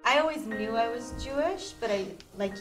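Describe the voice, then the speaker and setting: A woman chats in a group conversation, her talk full of "like." She sounds off-mic in an echoey room. Music plays clearly beneath. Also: on the high side, animated, moderate pace. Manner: chatty, lots of "like". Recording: roomy, distant mic, music.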